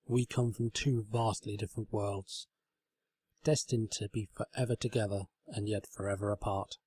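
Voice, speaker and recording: A man voicing a gentle, shy talking bedside table from a comic storybook, earnest, courtly and wistful in a formal way. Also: on the low side, fairly even intonation, normal speed, husky. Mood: tender, wistful. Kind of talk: comedic storybook reading.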